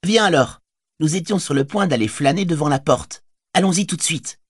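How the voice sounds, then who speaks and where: A man voicing a proud, eager ten-year-old boy, a guard's son, who talks excitably and boastfully in an audiobook reading. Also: on the high side, moderate pace, breathy edge, dry recording, studio quality, pitched above the performer's own voice. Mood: excited. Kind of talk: audiobook character voice.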